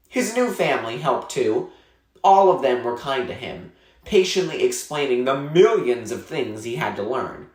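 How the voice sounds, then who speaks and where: A man narrating a children's novel aloud in an expressive, measured storytelling style with clear phrasing. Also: on the high side, reverberant.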